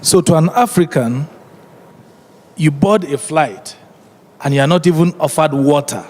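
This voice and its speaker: A man's voice: fairly high pitch, unhurried, darkish tone. A man making formal but unscripted remarks to a conference, courteous and hesitant as he feels his way through the point. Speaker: airline executive adding a point at a conference. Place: conference hall. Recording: noisy conference hall recording.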